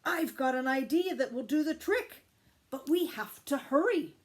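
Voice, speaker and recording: A woman's voice: sits high, lively intonation, unhurried, pitched above the performer's own voice. A woman voicing a determined young girl rabbit from a storybook, earnest and firm. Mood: excited. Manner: firm, urgent, encouraging.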